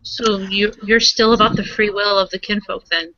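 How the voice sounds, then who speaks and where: A woman's voice: mid-range voice, little pitch movement, normal speed, pushed and bright, a little hiss or hum. A woman playing in a tabletop roleplaying session, chatting casually in character. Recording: dull lo-fi recording.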